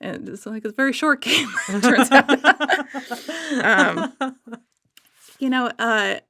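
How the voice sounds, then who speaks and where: A woman podcast guest chatting casually and enthusiastically, often halting to search for words. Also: sits high, expressive, bright, forceful tone, no room sound, clean studio sound. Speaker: podcast guest, writer and illustrator.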